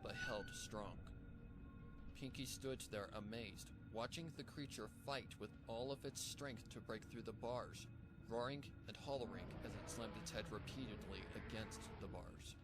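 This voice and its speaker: A man's voice: on the low side, normal speed, over loud music. A man reading a scripted story as a dramatic narrator, with a noisy background. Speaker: fan fiction narrator.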